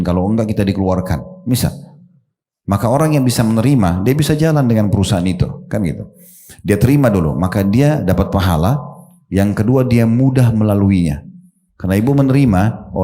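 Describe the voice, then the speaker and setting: A man's voice: on the low side, dark tone. A middle-aged male preacher giving a sermon, talking straight to his listeners and explaining with everyday examples and questions. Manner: addresses his listeners directly.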